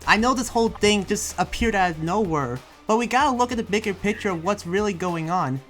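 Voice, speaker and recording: Someone acting as a defensive town protector, insistent, anime roleplay, middle pitch, soft music behind. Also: expressive, brisk, lightly acted. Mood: exasperated, pleading. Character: defensive town protector who trusts friendly demons. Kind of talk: improvised anime roleplay argument in a game.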